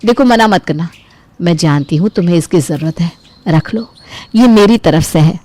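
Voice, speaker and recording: A woman's voice: fairly low pitch, moderate pace, warm tone, dry recording, natural voice. Woman voicing a kind, trusting elder sister-in-law. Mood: generous, pleased. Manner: effusive, affectionate, blessing. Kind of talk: Hindi family drama cartoon storytelling.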